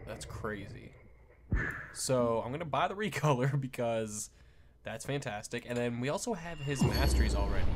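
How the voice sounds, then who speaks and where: A man's voice: fairly low pitch, background noise. A man giving casual, off-the-cuff game commentary as a video host, rambling and thinking aloud.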